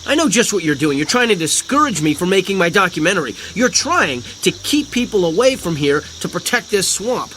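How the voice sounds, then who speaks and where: High-voiced, flustered, earnest filmmaker. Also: male voice, a little fast, hardly any pauses, slight background noise. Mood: indignant. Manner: hesitant, earnest, excitable. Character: earnest, easily flustered male documentary filmmaker. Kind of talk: audio drama acting. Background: faint crickets and insects.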